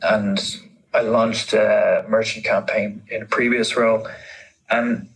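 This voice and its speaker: A man's voice: fairly low pitch, flat delivery, slow pace, a bit bright, low-quality recording. A man giving informal interview answers, thinking aloud and often repeating words as he explains. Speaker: interviewee, marketing manager.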